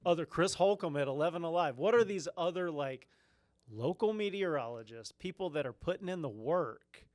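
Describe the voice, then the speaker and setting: A man co-hosting a casual podcast over a remote call, jokey and quick with replies. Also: a little high, animated, darkish tone, no echo, studio quality. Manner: jokey, quick back-channel replies, laughs along. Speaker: podcast co-host. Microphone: virtual podcast, remote call.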